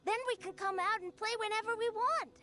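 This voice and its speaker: Piercing, very high village child. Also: female voice, a little fast, sharp tone. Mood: earnest. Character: stubborn, earnest young village child. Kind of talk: anime video game voice acting.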